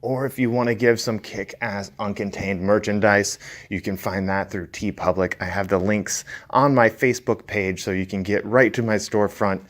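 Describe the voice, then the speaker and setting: A man's voice: on the low side, steady tone, moderate pace, few pauses. A man hosting an interview podcast, speaking in a casual, informal way. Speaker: podcast host. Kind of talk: scripted intro and interview chat.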